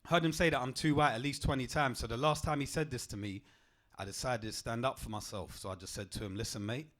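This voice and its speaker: A young man, doing a stand-up comedy set on a club stage with a deadpan, punchy delivery. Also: quick pace. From England. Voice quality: deep voice.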